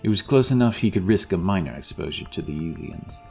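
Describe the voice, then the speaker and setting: Deep-voiced male fantasy narrator. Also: animated, fairly quick, gravelly, a little mellow, faint music behind. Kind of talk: dramatic scripted fantasy narration.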